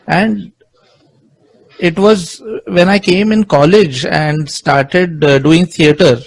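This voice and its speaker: A middle-aged Indian man with an Indian English accent, a Marathi speaker and music composer, answering interview questions with many pauses and restarts. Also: a little high, vocal fry, poor audio. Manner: hesitant, many fillers and restarts.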